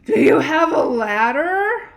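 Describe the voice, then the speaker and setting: A woman voicing a lovesick young prince from a storybook, calling out pleadingly in loud, drawn-out phrases. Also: sits high, big pitch swings, creaky, voice pushed up, exaggerated voice. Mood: exasperated. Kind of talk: storybook read-aloud character voice.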